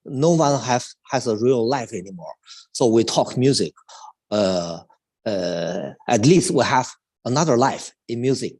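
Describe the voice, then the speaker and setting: A man in his fifties from China, a native Chinese speaker with a Chinese accent, talks as a cello teacher in a live online chat. He speaks haltingly, with many restarts and fillers. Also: mid-range voice, animated, a little mellow. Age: 50s.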